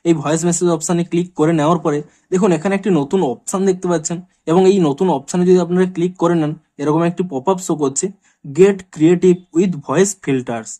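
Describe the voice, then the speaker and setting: A man's voice: high voice, mellow. A man hosting a tech tutorial, narrating step by step and addressing viewers directly in a repetitive, instructional way. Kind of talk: scripted how-to screen walkthrough narration.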